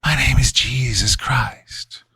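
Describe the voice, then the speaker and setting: A man's voice: medium pitch, takes it slowly, bright, forceful tone, no room sound, pitched above the performer's own voice, full character voice. A man voicing a mocking, sadistic demon in a horror story, with a guttural, whispery voice and a taunting manner. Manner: taunting, voice shifting between growl, sing-song and breathy whisper.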